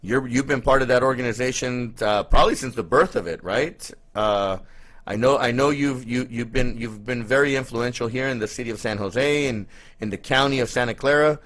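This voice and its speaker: A man's voice: mid-range voice, moderate pace, a bit bright. A man hosting a live interview show, talking in an informal, conversational and effusive way.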